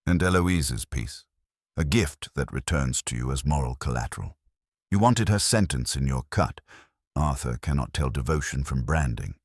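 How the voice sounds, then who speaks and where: A man's voice: a bass voice, animated, normal speed, slightly rough, dry recording, studio quality, heavily acted. A man voicing a shrewd detective's assistant who needles and accuses in a wry, pointed, clipped way. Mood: accusing.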